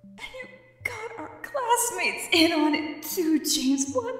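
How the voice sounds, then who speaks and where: A woman's voice: extremely high pitch, very animated, slow, faint music behind, full character voice. A woman voicing a fierce, foul-mouthed, irritable young woman in a comic dub, blunt and scornful. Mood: weary irritation. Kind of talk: animated comic-dub voice acting.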